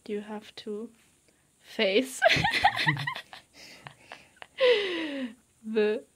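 A woman's voice: on the high side, sing-song, very slow, breathy edge, dry recording. A woman in her twenties filming a casual travel vlog outdoors with a handheld camera, laughing often and exclaiming and swearing freely. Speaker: vlogger. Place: outdoors on a hiking trail and beach.

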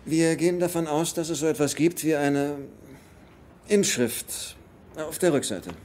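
An actor in a German film dub playing an earnest, determined treasure hunter with a measured, serious manner. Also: male voice, fairly high pitch, a little mellow, a little hiss or hum, lightly acted. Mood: careful, hesitant. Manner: measured, serious, hesitant when explaining.

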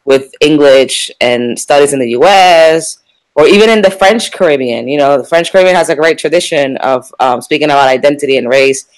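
Halting woman curator on a video call. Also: low-pitched, poor audio. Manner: halting, with many ums and restarts. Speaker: museum curator on a panel. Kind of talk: unscripted panel discussion.

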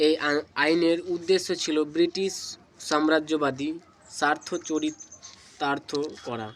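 A man reading out exam questions and answers as a tutor, in deliberate, segmented phrases. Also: on the high side, fairly even intonation. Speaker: exam tutor, channel host.

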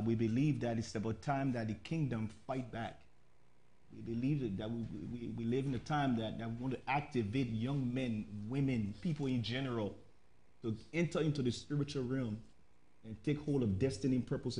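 A man's voice: medium pitch, normal speed, dark tone. A middle-aged man with a Liberian accent introducing himself as a ministry guest on a panel. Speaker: apostle, talk-show guest. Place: indoor TV studio, seated.

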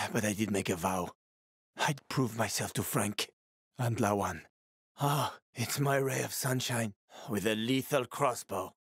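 A man's voice: mid-range voice, no echo, clean studio sound, lightly acted. An actor playing a world-weary, streetwise male survivor in a video game, with a gritty, sardonic, foul-mouthed manner and dramatic delivery. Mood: weary, regretful, then wry.

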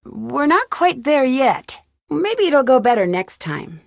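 Young lady detective from a mystery game, upbeat n wry, bit high voice, really dry close recording. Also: sing-song, takes it slowly, vocal fry, dry recording, exaggerated voice. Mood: wry, curious. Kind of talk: scripted video game voice acting.

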